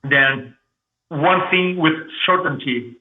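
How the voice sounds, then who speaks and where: A man from Brazil with a thick Brazilian Portuguese accent, telling his story as an interview guest in an energetic, restarting way. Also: fairly high pitch, slow. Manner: energetic, repeats and restarts words. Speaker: guest, public speaking coach. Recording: echoey room over phone-like line.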